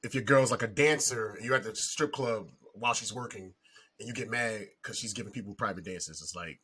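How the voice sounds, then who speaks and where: A man's voice: on the low side, fairly quick, pushed and bright, breathy edge. A man co-hosting a podcast in a casual, chatty way. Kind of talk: casual chat.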